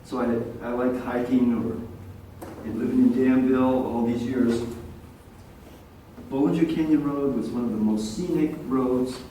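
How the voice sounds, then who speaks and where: Older guy giving a public comment, soft and halting, far off the mic in a big echoey room. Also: mid-range voice, steady tone. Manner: soft-spoken, rambling with pauses. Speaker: resident speaking at a public meeting. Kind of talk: public comment at a community meeting. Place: large echoey meeting room. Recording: echoey room, distant mic, faint hiss.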